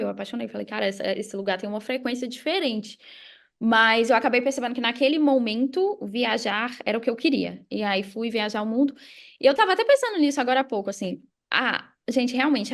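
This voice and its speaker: A young Brazilian woman speaks in a high voice with noticeable vocal fry. She talks like a podcast guest sharing motivational advice, casual, conversational and emphatic. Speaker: podcast guest, digital marketing mentor. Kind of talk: casual motivational interview talk.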